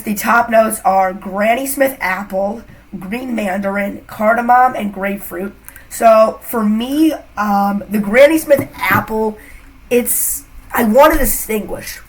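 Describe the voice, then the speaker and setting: A woman's voice: on the high side, measured pace, a little hiss or hum, rough recording. A young woman with a cold, chatting casually to camera as a fragrance reviewer, rambling with lots of fillers. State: has a cold, a bit congested.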